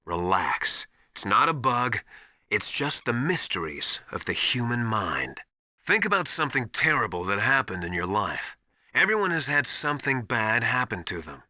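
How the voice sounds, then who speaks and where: An actor playing a stern veteran soldier mentor in a video game, giving authoritative, emphatic and deliberate instruction. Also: male voice, mid-range voice, big pitch swings, sharp tone, no echo, studio quality. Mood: grave, philosophical. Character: stern veteran soldier mentor, tough drill-master advising an operative. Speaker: military survival instructor. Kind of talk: scripted video game voice acting, instructional advice.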